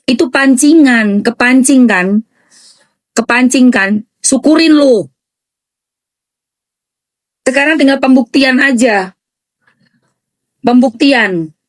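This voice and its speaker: Woman gossip commentator, eastern Indonesian accent, sarcastic, emphatic, slightly high voice. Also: takes it slowly, pauses often, low-quality recording. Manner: sarcastic, emphatic, repeats phrases for mockery.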